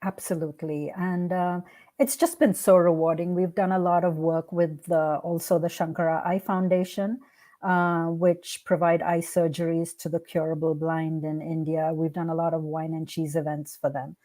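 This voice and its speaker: A woman's voice: low voice, flat delivery, moderate pace, warm tone. A woman whose native language is Hindi, giving reflective, earnest answers as a guest in a conversational interview. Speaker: podcast guest, art curator and founder.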